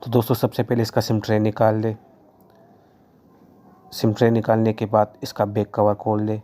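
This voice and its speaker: Man, tutorial presenter, very flat intonation, soft mellow timbre, plain step-by-step how-to delivery. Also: lowish voice, moderate pace. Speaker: phone repair tutorial presenter.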